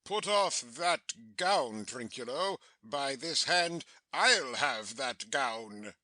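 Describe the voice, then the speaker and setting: Guy doing a boozy blustery butler playing king, slow n pompous, dry recording. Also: mid-range voice, expressive, bright, forceful tone, no echo, full character voice. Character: drunken, blustering butler who fancies himself king. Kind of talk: dramatic Shakespearean audiobook reading.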